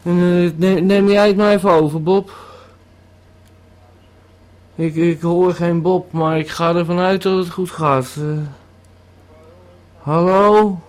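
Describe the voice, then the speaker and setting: A high-voiced actor as a dazed man in an audio play, pleading and insistent. He speaks haltingly, circling back to the same few phrases. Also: steady tone, darkish tone. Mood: dazed, uncertain. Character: dazed, pleading man in Marbella needing a lie-down. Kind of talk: improvised-sounding Dutch audio drama.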